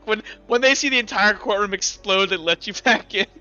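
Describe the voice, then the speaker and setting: A man's voice: sits high, brisk, sharp tone, clean tone, muffled recording. A man running a tabletop game as game master, narrating off the cuff in a casual, joking, sweary way.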